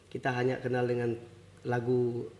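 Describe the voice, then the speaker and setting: A man's voice: mid-range voice, completely flat delivery, normal speed, a little mellow. A man with a West Kalimantan Indonesian accent and a local first language, telling childhood stories casually as an interview guest. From rural West Kalimantan, Indonesia. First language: a local language of rural West Kalimantan. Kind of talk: casual storytelling about childhood. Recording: noticeable background noise.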